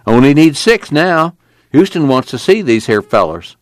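A man's voice: on the high side, big pitch swings, normal speed, dry recording, studio quality, pitched above the performer's own voice. A man voicing a tough, blunt camp guard with a firm drawl. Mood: stern.

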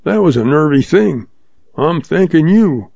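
A man's voice: a little high, expressive, measured pace, gravelly, darkish tone, dry recording, voice pushed up, exaggerated voice. A man voicing an old folksy Western man who speaks in a homespun drawl, in a dull lo-fi recording. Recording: dull lo-fi audio.

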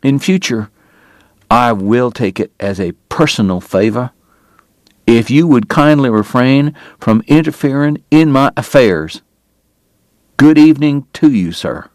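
Male reader doing a sick, proud Old West dentist: slow, pained, pitch pushed up, dry close sound. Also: animated, a little mellow, dry recording, natural voice. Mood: proud, angry. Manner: pained, proud, formal diction breaking into anger. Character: ailing, bitter consumptive Old West dentist, man.

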